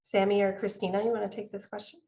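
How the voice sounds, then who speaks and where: Creaky female moderator on dull lo-fi audio. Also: mid-range voice, little pitch movement, fast, warm tone. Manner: encouraging, affirming. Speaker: panel moderator. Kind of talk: moderated discussion.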